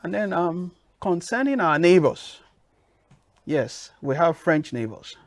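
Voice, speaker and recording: A man with a Nigerian accent answering interview questions in a measured, emphatic way. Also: on the high side, sing-song, slight background noise. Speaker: guest.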